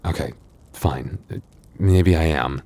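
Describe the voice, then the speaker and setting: A man playing a gentle, anxious young werewolf boyfriend in an intimate audio roleplay, speaking softly and haltingly. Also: very deep voice, slight background noise, heavily acted. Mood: playful, bashful. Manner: intimate, hesitant, soft-hearted, halting.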